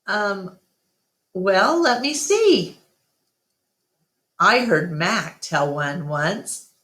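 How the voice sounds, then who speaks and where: A woman's voice: medium pitch, expressive, very slow, fairly bright tone, reverberant, pitched above the performer's own voice. A woman voicing a patient, easygoing adult male gorilla in a storybook, with a hesitant, explaining manner.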